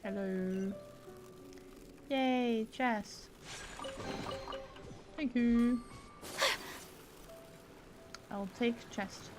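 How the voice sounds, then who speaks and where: A woman streams a video game with casual commentary, talking slowly in a fairly high voice. She mutters to herself in short remarks as she plays, with music behind her. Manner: mutters to herself while playing.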